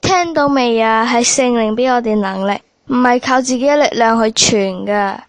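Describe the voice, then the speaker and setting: A woman's voice: a little high, moderate pace, dry recording, lightly acted. A woman with a Cantonese accent voicing a bossy squad captain and a quarrelsome soldier in a church skit. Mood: commanding. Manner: bossy, scolding.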